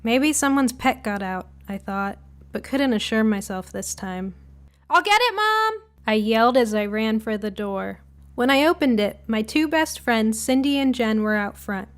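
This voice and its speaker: A woman's voice: a little high, moderate pace. A woman reading a scripted short story in the first person, as a storybook narrator.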